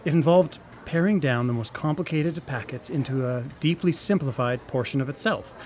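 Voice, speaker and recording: A man's voice: mid-range voice, normal speed, a little mellow, close to an everyday voice. Man acting an earnest engineer, noisy background. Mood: earnest, speculative. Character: earnest, hesitant engineer explaining lab research. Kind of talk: live radio drama acting.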